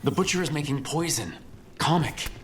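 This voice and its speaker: An actor playing an alert, decisive adventurer who leads his companions in a video game, brisk and determined. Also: fairly high pitch, animated, slight background noise, natural voice. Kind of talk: dramatic video game acting.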